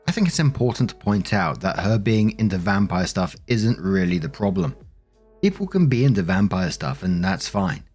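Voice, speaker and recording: A man's voice: fairly low pitch, a little mellow, slightly rough. A man reading online posts and comments aloud as a narrator, with casual reactions of his own. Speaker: host narrating Reddit stories.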